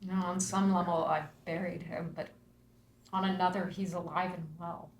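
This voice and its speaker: Woman reading an earnest grieving daughter, mid-pitched, roomy echo, faint hum. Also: level tone, normal speed, lightly acted. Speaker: actor in a table read. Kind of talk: screenplay table read.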